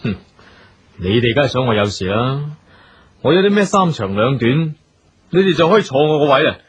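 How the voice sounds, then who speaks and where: Husky, domineering eldest brother. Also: male voice, medium pitch, big pitch swings, brisk. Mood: scornful, commanding. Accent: Hong Kong Cantonese. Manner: curt, scornful, authoritarian. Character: domineering, suspicious eldest brother, general manager in a wheelchair. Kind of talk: radio drama acting.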